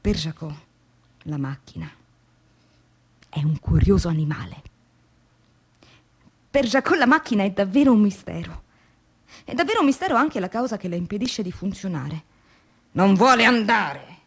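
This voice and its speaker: An actress playing an anguished, exhausted factory worker confiding her diary in a dramatic radio monologue that shifts between hushed and vehement delivery. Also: mid-range voice, sing-song, normal speed, pauses often, slightly rough. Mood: bitter, subdued.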